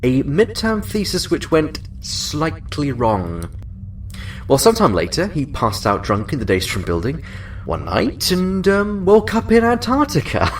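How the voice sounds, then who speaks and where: An actor playing a genial senior admiral in a science fiction audio drama, measured and formal, sounding a little away from the mic. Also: male voice, fairly high pitch, huge pitch swings, recorded from a distance, slight background noise, full character voice. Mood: amused, genial. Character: genial, senior male admiral, chatty then businesslike.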